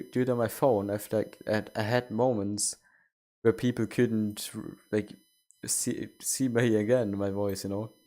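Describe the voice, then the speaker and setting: A man's voice: mid-range voice, darkish tone. A young man streaming a game, chatting casually and rambling with many restarts. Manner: rambling, self-correcting. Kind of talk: casual chat while gaming.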